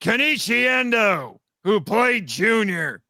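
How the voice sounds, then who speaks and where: A man with a high, bright voice trades casual banter while explaining trivia. He is playfully condescending and needles his listeners. There is a creaky edge to the voice, and the sound is close and dry. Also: very animated, takes it slowly, no echo. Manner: teasing, mock-condescending. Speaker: podcast guest, fan audio drama writer and voice actor.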